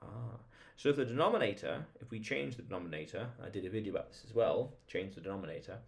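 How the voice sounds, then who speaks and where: A man's voice: on the low side, expressive, quick pace, creaky. A man hosting a vlog, talking informally to viewers in an explainer monologue, emphatic, repeating phrases and posing rhetorical questions. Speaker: vlog host.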